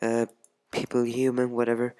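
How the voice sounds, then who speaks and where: A man's voice: mid-range voice, monotone, moderate pace, mellow. A young man from Sweden with a Swedish accent, rambling hesitantly through casual let's play commentary with many ums. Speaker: gamer. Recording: music playing behind. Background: music.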